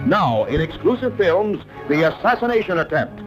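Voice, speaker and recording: A man's voice: sits high, big pitch swings, moderate pace. An American man reading scripted 1950s newsreel narration with emphatic, announcer-style delivery. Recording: dull old newsreel soundtrack, prominent music. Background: newsreel music.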